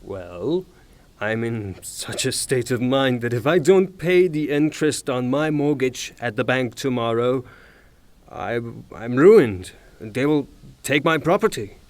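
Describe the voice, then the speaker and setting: An actor playing a blustering, irascible retired officer and landowner in a theatrical audio drama, with formal manners and emphatic, exasperated delivery. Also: male voice, medium pitch, lively intonation, measured pace, a little mellow, dry recording, natural voice. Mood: insistent. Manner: emphatic, formal address breaking into exasperated outbursts.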